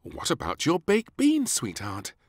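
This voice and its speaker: A man's voice: medium pitch, wildly swooping pitch, a little slow, no echo, clean studio sound, voice pushed up. A man voicing a fond, fussing queen and mother in a children's storybook, with exaggerated delivery. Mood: coaxing. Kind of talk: read-aloud children's storybook.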